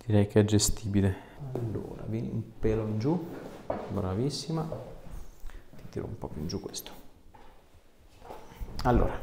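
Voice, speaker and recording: A man's voice: lowish voice, vocal fry, dark tone, echoey room, a little hiss or hum. A man, working as an osteopath and giving short, reassuring, casual instructions during a hands-on exam in a small treatment room. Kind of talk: casual hands-on exam talk, ASMR video.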